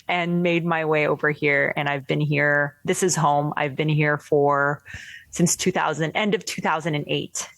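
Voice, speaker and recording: A young woman from the Philippines talks animatedly as an interview guest, laughing often and restarting her sentences. Her voice sits low for a woman, recorded close and dry. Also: a bit bright, no room sound. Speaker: filmmaker guest. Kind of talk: casual interview chat.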